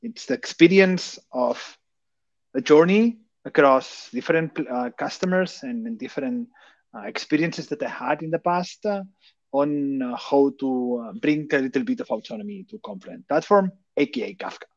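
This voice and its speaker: A man's voice: on the high side, animated, normal speed, dry recording. A man from Barcelona with a Catalan Spanish accent, in his thirties, giving a technical talk over a call with many fillers and rhetorical questions. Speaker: conference speaker. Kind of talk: technical presentation. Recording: dull, dry lo-fi call audio.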